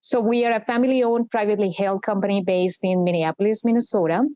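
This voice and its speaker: A woman's voice: medium pitch, fairly even intonation, warm tone, no echo. Earnest woman from Colombia, dry close sound. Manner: earnest, measured, explanatory. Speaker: guest, corporate sustainability executive. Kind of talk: podcast interview.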